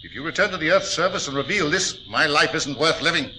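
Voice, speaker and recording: Desperate fanatical villain, brooding melodrama, intense sharp voice, hissy old radio. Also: male voice, on the high side, expressive, fast talker. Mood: threatening, desperate. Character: desperate, fanatical male villain trapped in a mine. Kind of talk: 1940s radio acting. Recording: noisy old 1940s radio recording.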